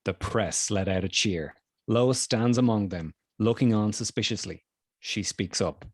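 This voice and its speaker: A man's voice: fairly low pitch, measured pace, no echo. A man narrating the stage directions of a screenplay at a table read.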